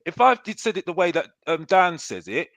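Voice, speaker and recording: High-voiced man stammering his case. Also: very animated, brisk, vocal fry, a bit bright, no echo. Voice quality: high-pitched voice. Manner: stammering, many restarts when pushed. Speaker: content creator guest. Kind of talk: heated casual debate.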